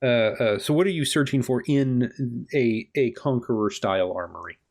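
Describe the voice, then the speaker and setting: A man's voice: medium pitch, normal speed, creaky, a little mellow. A man with a mid-range voice runs a tabletop game as game master. He improvises casually, with playful, wry banter, and tends to repeat his own phrases.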